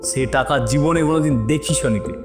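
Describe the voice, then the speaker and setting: A man's voice: a little high, steady tone, fast, music in the background, lightly acted. An actor playing a sleazy, domineering father in an audio drama, talking in a crude, goading, taunting way. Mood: goading, contemptuous. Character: sleazy, domineering father pushing his daughter toward a rich man.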